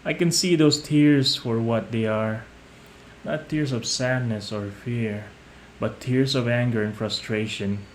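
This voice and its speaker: A man playing a young mafia heir in an audio roleplay, measured and polite on the surface, condescending and manipulative underneath. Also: mid-range voice, lightly acted. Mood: mocking, gloating. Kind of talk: scripted audio roleplay addressed to the listener. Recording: roomy echo, some background noise.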